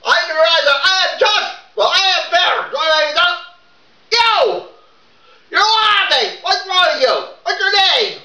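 An echoey, distant recording of a woman playing a brutal female drill sergeant. She barks insults at recruits in a high, forceful voice, bullying them and demanding shouted replies like a war film boot camp boss. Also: expressive, a little slow, some chatter behind, exaggerated voice. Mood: bullying, shouting. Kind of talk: rehearsed military monologue from a war film parody. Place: echoey room in a house. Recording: echoey room, distant mic.

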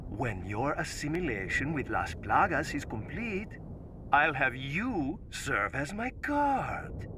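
An actor playing a sinister older cult leader in a video game, pompous, theatrical and mocking. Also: male voice, a little high, big pitch swings, slow, background noise. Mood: smug menace. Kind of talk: video game villain acting.

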